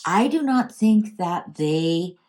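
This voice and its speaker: A woman's voice: fairly low pitch, lively intonation, slow, darkish tone. A woman with a Canadian accent hosting a casual, improvised tarot reading, thinking aloud in a conversational way. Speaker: tarot reader and channel host.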